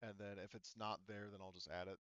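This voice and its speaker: Low, clear-voiced man running a game. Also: fairly even intonation, fast talker, fairly bright tone, no room sound, muffled recording. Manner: hesitant, thinking aloud. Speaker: game master. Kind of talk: casual tabletop game narration and rulings.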